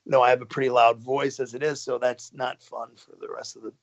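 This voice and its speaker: A man, a podcast guest, talking casually in a halting way, restarting and trailing off. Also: mid-range voice, little pitch movement. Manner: halting, restarts and trailing phrases with short laughs. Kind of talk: casual conversation. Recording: dull lo-fi podcast audio.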